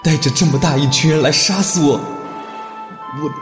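A man playing a gentle, trusting young merman in an audio drama. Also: on the high side, normal speed, music in the background, natural voice. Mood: betrayed, pained.

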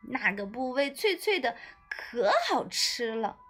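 A woman voicing timid but excitable little storybook creatures with an eager, squeaky manner. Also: slow pace, faint music behind, heavily acted. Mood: excited.

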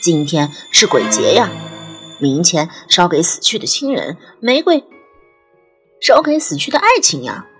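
An uncanny young boy flower seller, played by a woman, speaks with lively, swooping pitch over music. He is fluent and persuasive, sly and far too knowing for his age, in the manner of an eerie radio play. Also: measured pace. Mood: coaxing, knowing.

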